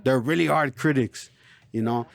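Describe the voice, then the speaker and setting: A man born in Chicago and raised in El Paso, a rapper talking casually in an interview, relaxed and streetwise, always saying "you know". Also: mid-range voice, normal speed, gravelly, no room sound. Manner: laid-back, streetwise, lots of "you know". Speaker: local rapper, interview guest.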